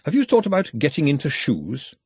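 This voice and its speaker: Man acting a brisk, practical working woman. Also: fairly high pitch, wildly swooping pitch, darkish tone, breathy edge, dry recording, pitched above the performer's own voice, exaggerated voice. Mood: confident, persuasive. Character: brisk, down-to-earth working woman selling cosmetics. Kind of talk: audiobook character acting.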